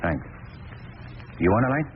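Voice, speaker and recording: An actor playing a gruff, offhand working-class cab driver with slangy speech in an old-time radio drama. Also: male voice, on the low side, very animated, unhurried. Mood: gruff, wary. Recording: scratchy, tinny vintage radio recording.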